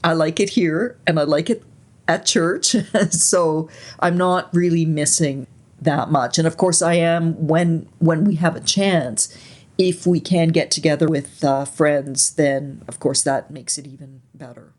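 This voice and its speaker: A woman in her sixties, raised in Canada, recalling her life story slowly and reflectively as an interview guest, often pausing to search for words. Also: low voice. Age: 60s. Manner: soft, unhurried, searching for words.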